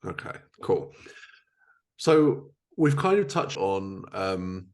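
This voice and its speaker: A man hosting a podcast, speaking conversationally in a measured, reflective way. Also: sits low, very animated, a little mellow. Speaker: podcast host. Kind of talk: conversational interviewing.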